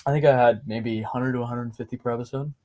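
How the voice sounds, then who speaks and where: A teenage boy guesting on a podcast, soft-spoken and relaxed, rambling easily and laughing often. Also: mid-range voice, quick pace. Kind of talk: casual chat. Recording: amateur home podcast mic.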